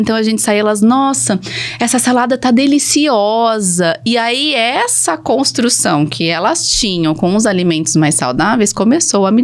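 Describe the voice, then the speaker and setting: A woman with a Brazilian accent, a speech therapist speaking as a podcast guest in casual, personal storytelling. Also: a little high.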